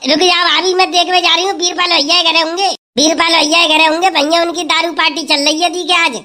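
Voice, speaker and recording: A woman's voice: very high-pitched, flat delivery, fairly quick, pushed and bright. A woman as a sensible, consoling village sister-in-law. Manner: consoling, advising. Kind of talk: comic sketch acting.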